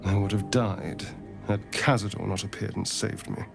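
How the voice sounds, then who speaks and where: An actor playing a haunted, sardonic centuries-old vampire spawn in a fantasy game, speaking with bitter wit and dramatic pauses. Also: male voice, fairly low pitch, little pitch movement, vocal fry, music in the background. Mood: brooding, resentful. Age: centuries-old undead. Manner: theatrical, wry, bitter, deliberate with dramatic pauses.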